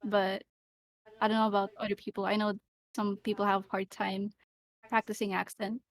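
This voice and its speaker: A woman's voice: medium pitch, flat delivery, normal speed. A young woman from the Philippines with a light Filipino accent, co-hosting a casual podcast and talking chattily with many fillers. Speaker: podcast co-host. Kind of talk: casual chat.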